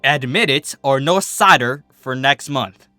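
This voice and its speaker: Man as a folksy farmer mare, bright tone. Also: mid-range voice, measured pace, no room sound, faint music behind. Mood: teasing, insistent. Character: steady, teasing female farmer pony, affectionate.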